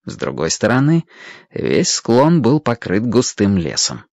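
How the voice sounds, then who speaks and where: A man's voice: fairly low pitch, huge pitch swings, measured pace, creaky, a little mellow, no room sound, highs cut off. A man narrating a children's adventure story expressively from a script. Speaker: audiobook narrator.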